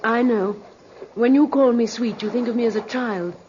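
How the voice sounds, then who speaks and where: An actress in a 1950s radio drama playing an adoring, sentimental young mistress, gushing and emotional. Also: female voice, fairly high pitch, normal speed, darkish tone, natural voice. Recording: muffled, noisy vintage radio recording.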